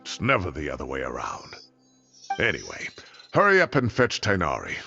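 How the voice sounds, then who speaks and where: A man playing an indignant, theatrical elderly former professor in a video game, expressive and blustery. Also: very deep voice, normal speed, vocal fry, lo-fi sound, soft background music, heavily acted. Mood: brisk.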